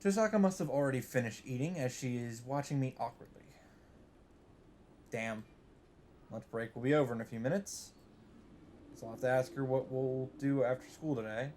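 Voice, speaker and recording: A man hosting a let's play, reading visual novel text aloud in an even voice and breaking in with blunt, swearing asides. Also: mid-range voice, moderate pace, long pauses, a little hiss or hum. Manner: reads narration evenly, breaks in with swearing asides.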